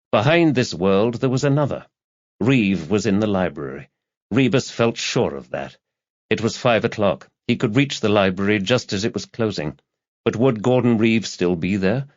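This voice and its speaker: A man narrating a thriller audiobook from a script in a measured, dramatic storytelling manner. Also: lowish voice, no echo. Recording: dull lo-fi audio, very dry.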